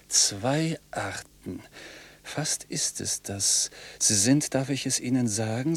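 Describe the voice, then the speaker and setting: Husky, intense night traveller. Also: male voice, medium pitch. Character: polite, evasive night traveller turned eerily fascinated, unsettling man. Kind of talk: German radio play acting.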